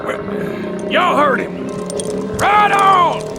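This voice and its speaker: A savage male henchman in a western audio drama. He shouts in an extremely high, gravelly voice and drags his words out. Music plays strongly behind him. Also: lively intonation, drawn-out words, heavily acted. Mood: rowdy, aggressive.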